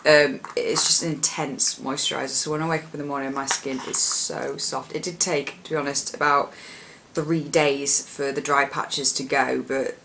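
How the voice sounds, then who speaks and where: A young woman with a husky voice, chatting casually about her favourite products in a rambling, filler-heavy way. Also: a bass voice, level tone, normal speed, hardly any pauses, fairly bright tone, slight background noise. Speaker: beauty vlogger. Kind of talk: casual product chat to camera.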